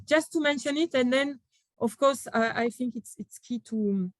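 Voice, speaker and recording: A woman's voice: high voice, moderate pace, no echo. Hesitant woman with a French accent. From France. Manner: hesitant, many fillers and restarts. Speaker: panel speaker from a company. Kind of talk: conference panel remarks.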